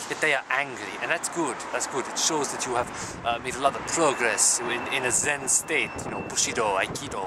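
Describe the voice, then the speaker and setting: A man playing a deadpan self-styled samurai. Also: medium pitch, natural voice. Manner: deadpan, rambling, with sudden crude outbursts. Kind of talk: improvised character comedy to camera. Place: outdoors on city streets. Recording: loud street and traffic noise.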